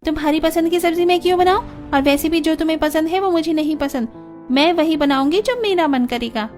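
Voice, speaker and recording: A woman's voice: very high voice, a little fast, a little mellow, soft background music. A woman playing a spoiled, defiant young rich bride in a family story, snappy and complaining. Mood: irritated, impatient. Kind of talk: dramatised Hindi family story acting.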